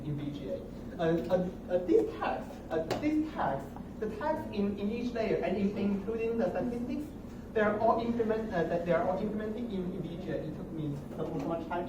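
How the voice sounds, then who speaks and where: A young man giving an impromptu project demonstration, haltingly, often repeating and restarting his words. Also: high voice. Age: young adult. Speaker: student presenter. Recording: roomy, distant mic with noticeable noise.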